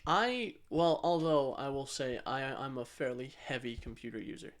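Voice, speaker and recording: A man's voice: medium pitch, lively intonation, moderate pace. A man co-hosting a podcast in a casual, rambling, blunt way with some swearing. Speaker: podcast co-host. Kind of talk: casual tech chat.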